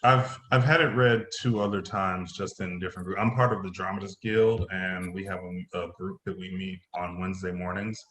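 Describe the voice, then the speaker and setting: A man's voice: low-pitched, steady tone, moderate pace, few pauses, a bit bright. A man chatting casually as a playwright about his own writing. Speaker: playwright, acting teacher. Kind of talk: casual chat. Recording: roomy, faintly noisy remote call.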